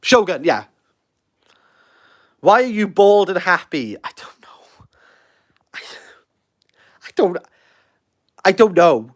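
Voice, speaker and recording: A man's voice: sits high, big pitch swings, takes it slowly, pauses often. A Welsh man in his thirties hosting a casual livestream, rambling and self-deprecating as he answers viewer comments. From Wales. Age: 30s. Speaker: livestream host.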